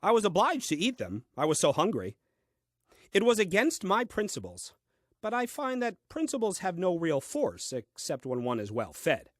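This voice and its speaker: A man's voice: high-pitched, huge pitch swings, moderate pace, no echo, heavily acted. An actor playing a grumbling, self-important first man reading his diary in a comic audio play, with dry, fussy delivery. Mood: dryly pompous.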